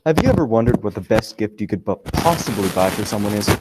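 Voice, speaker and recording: A man playing a brash pitchman in a parody radio commercial, salesy and emphatic. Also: animated, fast talker, very noisy, lightly acted. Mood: eager selling. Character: brash male pitchman selling an absurd product.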